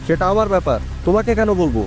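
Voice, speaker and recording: A man's voice: sits high, sing-song, racing. A man voicing a despairing, irritable man with an agitated way of talking in a cartoon thriller. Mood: agitated, bitter. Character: despairing, irritable man drawn into a deadly game. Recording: music behind the voice.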